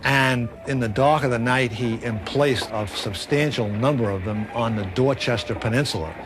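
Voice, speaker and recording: A man's voice: mid-range voice, moderate pace, over music. A man telling history as an expert in a documentary interview.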